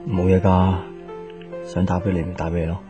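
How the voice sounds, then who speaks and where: Low-voiced lovesick young man, played by an actor. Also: flat delivery, a little fast, over music, close to an everyday voice. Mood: longing. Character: lovesick workaholic young man, an office boss. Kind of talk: Cantonese radio drama acting.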